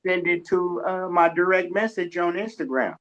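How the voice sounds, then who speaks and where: A man's voice: sits high, normal speed, fairly bright tone. A man co-hosting a radio show, chatting casually and telling anecdotes in a rambling, folksy way. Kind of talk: casual conversational interview, anecdotes. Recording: dull, lo-fi audio.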